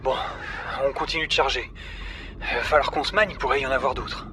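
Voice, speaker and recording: An actor playing a brash, excitable submarine crew diver in an audio drama. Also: medium pitch, fast, noisy background, natural voice. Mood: tense. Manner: excitable, swearing, commanding.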